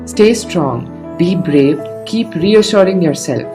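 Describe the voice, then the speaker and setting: A woman's voice: on the low side, expressive, slow pace. A woman student giving a sincere, encouraging personal video message. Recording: guitar music behind.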